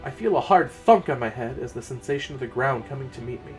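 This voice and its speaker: A man's voice: medium pitch, animated, quick pace, faint music behind. A man narrating a first-person fantasy story in a dramatic reading style.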